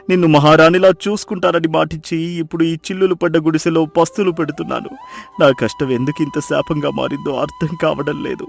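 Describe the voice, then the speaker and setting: A man's voice: on the high side, creaky. A man playing a poor, humble fisherman in a moral story, pleading and lamenting in despair. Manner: pleading, lamenting, self-blaming. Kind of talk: acted moral-story dialogue. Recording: music behind the voice.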